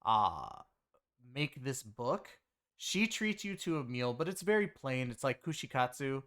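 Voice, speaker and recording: A man's voice: mid-range voice, big pitch swings, measured pace, fairly bright tone. A man giving a casual, rambling game story review in a conversational way, leaning on filler phrases. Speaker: game reviewer and content creator.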